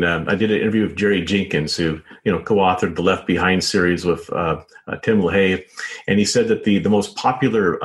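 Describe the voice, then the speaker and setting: A man speaking as an interview guest, explaining at length in a halting way, repeating words and often saying "you know". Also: low-pitched. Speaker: interview guest, author and journalist.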